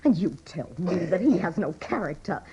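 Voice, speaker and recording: An actress playing a weary, fiercely loyal older wife and mother in a radio drama, pleading emphatically in a husky voice. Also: lowish voice, expressive, moderate pace, muffled recording, noisy background, natural voice. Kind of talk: dramatic radio play acting.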